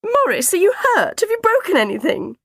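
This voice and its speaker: A woman's voice: extremely high pitch, huge pitch swings, fairly quick, vocal fry, no echo, studio quality, pitched above the performer's own voice, heavily acted. A woman voicing an indignant young girl, a neglected and pleading sister, in a storybook. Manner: pleading, sulky.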